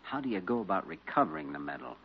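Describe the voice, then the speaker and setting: Animated, confident young doctor. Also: male voice, mid-range voice, fast talker, gravelly, slightly rough, heavily acted. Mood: professional. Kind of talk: 1940s radio acting. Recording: muffled old radio recording, light noise.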